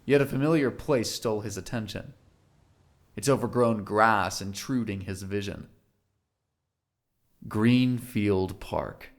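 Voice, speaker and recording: A man's voice: on the low side, slow pace, pauses often. A man narrating a scripted audio drama in a dramatic storytelling style.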